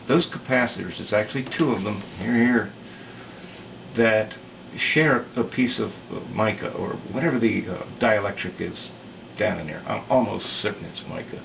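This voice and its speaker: Man, lowish pitch, casual technical walkthrough, halting and thoughtful, distant mic, noticeable background noise. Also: animated, vocal fry. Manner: halting, thoughtful, thinking aloud. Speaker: repair video maker demonstrating electronics work. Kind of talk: casual unscripted technical explanation.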